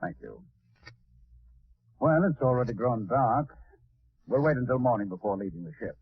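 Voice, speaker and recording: Decisive spaceship commander on tinny old radio. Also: male voice, medium pitch, expressive, moderate pace, gravelly, slightly rough. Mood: measured, formal. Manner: clipped, authoritative orders. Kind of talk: 1940s radio drama acting.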